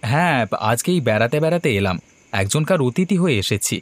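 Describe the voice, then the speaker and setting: An actor playing a polite, uneasy young man visiting a village in an animated horror story. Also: medium pitch, brisk, no room sound, close to an everyday voice.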